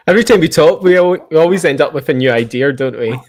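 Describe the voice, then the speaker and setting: A man hosting a podcast in a casual online chat, chatty, jokey and quick to laugh. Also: a little high. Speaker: podcast host. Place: online call.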